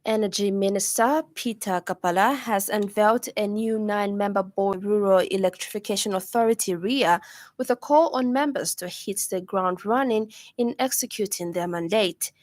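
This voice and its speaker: Female newsreader, Zambian accent, formal scripted delivery, mid-range pitch, close dry sound. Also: moderate pace, no echo. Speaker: radio news presenter. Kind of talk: scripted news bulletin reading. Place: radio studio.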